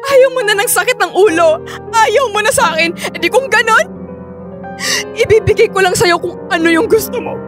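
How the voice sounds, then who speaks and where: Very high-pitched, hurt Filipino wife. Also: female voice, moderate pace, over music. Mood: wounded, bitter. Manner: pleading, confrontational, persistent. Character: hurt, insistent, childlike young wife demanding the truth. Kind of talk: Tagalog radio drama acting.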